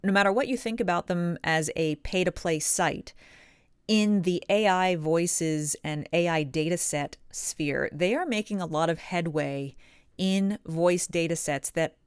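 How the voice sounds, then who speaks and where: Female coach-presenter, lowish smooth voice, emphatic key words, instructional delivery to viewers, very dry recording. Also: measured pace, dry recording. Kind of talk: instructional advice talk to camera.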